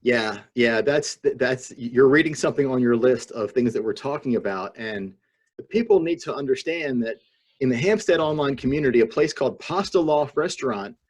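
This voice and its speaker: Wry American male co-host with a rough-edged, husky tone and swooping intonation, needling friends casually. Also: medium pitch, animated, normal speed. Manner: wry, teasing. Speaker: podcast co-host. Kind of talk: casual chat. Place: video call from lockdown. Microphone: video call microphone.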